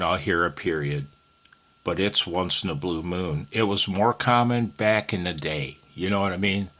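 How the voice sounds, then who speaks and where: A man's voice: on the low side, a bit bright. A man giving a casual, rambling amateur radio tutorial in a folksy, self-deprecating, slightly nervous way.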